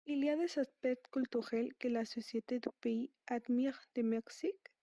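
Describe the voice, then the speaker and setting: Woman interviewer, high and mellow voice, formal questions, dry close sound. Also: fairly even intonation, normal speed, dry recording.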